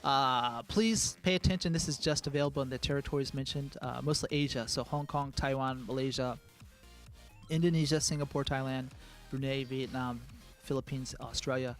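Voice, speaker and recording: A man giving a live product presentation as a game producer, speaking in a relaxed way full of "uh"s. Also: moderate pace, faint music behind. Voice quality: calls his own voice high. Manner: relaxed, filler-heavy speech with many "uh"s.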